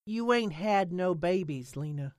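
A woman's voice: lowish voice, animated, unhurried, a little mellow, no echo, studio quality. A woman voicing a level-headed rural woman who asks blunt, doubtful questions. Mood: incredulous.

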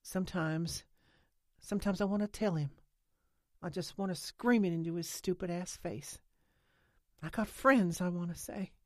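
A woman voicing an anxious, defiant rural woman in an audiobook, speaking haltingly and insistently in country dialect. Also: lowish voice, a little mellow, no room sound, clean studio sound, natural voice. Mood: bitter, agitated. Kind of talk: audiobook character acting.

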